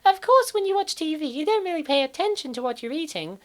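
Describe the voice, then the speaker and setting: A woman's voice: high-pitched, animated, fast. A woman playing a naive young college woman in a comic dub, narrating and exclaiming theatrically. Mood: reflective. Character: cheerful naive college woman narrating her weight gain.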